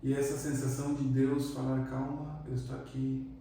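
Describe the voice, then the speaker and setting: A man from Argentina, a psychiatrist guest talking in a relaxed, reflective way with many fillers. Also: medium pitch, normal speed, gravelly, a little hiss or hum. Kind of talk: casual interview chat. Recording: echoey room, distant mic.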